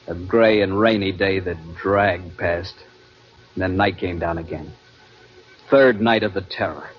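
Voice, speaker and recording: An actor playing a haunted young adventurer in an old radio thriller, with dramatic, suspenseful, deliberate delivery. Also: male voice, deep voice. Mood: grim suspense. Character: haunted, guilt-ridden young adventurer narrating his terror at sea. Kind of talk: 1940s-50s radio drama narration and acting. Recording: dull vintage radio recording with prominent music.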